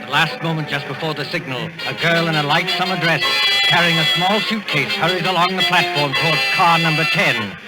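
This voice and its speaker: Man, radio announcer, slightly high pitch, measured suspenseful narration, 1940s dramatic style, music underneath. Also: rough recording. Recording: old radio recording with music behind.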